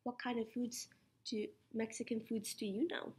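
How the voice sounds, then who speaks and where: A young woman teacher reading a children's picture book aloud in an animated, instructive way. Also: fairly high pitch, moderate pace. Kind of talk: children's picture-book read-aloud with sing-song refrains. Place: small indoor classroom.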